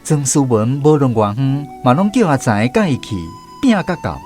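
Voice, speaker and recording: A man's voice: medium pitch, sing-song, moderate pace, darkish tone, soft background music. A man with a Taiwanese accent narrating a radio drama in a dramatic storytelling style.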